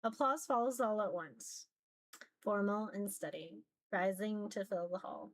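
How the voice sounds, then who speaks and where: A woman's voice: medium pitch, unhurried, poor audio. A woman streamer reading a visual novel aloud as narration and commenting casually as she plays.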